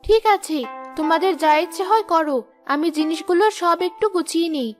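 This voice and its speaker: A woman's voice: very high voice, a little fast. A woman voicing a practical, doubting village wife in a cartoon. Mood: reluctant. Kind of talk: cartoon voice acting. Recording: music behind the voice.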